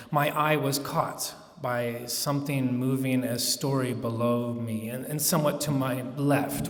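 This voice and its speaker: Slow, theatrical man reading in an echoey hall. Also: a little high. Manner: theatrical, deliberate, with drawn-out words and swells between hushed and forceful. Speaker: reader at a live public reading. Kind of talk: dramatic literary reading of a gothic horror novel. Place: large echoey room at a live museum event. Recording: echoey hall with long reverb.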